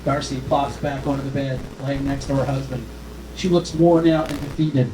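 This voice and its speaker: A man's voice: medium pitch, steady tone, normal speed, warm tone. A man reading scripted stage directions as narrator at a screenplay table read. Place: live film festival table read. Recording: echoey room, faint noise.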